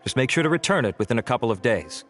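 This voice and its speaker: An actor playing a measured, formal investigator who reports findings politely, in a game scene with faint music. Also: male voice, medium pitch, animated, fairly bright tone, close to an everyday voice.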